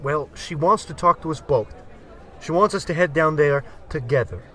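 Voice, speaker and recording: A man's voice: fairly high pitch, normal speed, bright, forceful tone. A man playing a slangy, wisecracking working-class barfly in an old-time comedy radio play. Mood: cocky. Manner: slangy tough-guy patter. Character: wisecracking 1940s-style working-class barfly, excitable, easily panicked.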